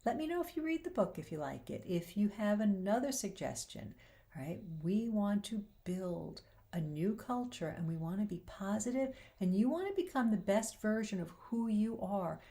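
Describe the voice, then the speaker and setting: Earnest woman host, mellow voice. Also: mid-range voice, expressive, moderate pace. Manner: earnest and encouraging, quoting scripture. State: slightly losing her voice. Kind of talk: casual faith-based talk to camera.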